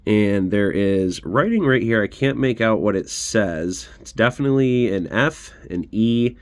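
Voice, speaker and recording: A man's voice: fairly low pitch, animated, unhurried. A man with a congested, sick voice giving a casual, rambling show-and-tell as host of a collection channel.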